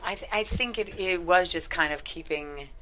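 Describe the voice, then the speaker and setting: A middle-aged woman talking casually as an interview guest, halting as she thinks aloud, on a headset mic in a studio. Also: fairly low pitch, pushed and bright, a little hiss or hum. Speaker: guest screenwriter. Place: small podcast studio, indoors.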